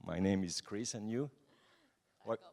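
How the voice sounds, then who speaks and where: A man's voice: fairly low pitch, unhurried, gravelly, warm tone. A man in his twenties with a French accent gives an informal conference talk in English, often restarting and searching for words. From France. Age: 20s. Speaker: conference speaker, night-life association president. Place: large conference hall. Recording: reverberant hall.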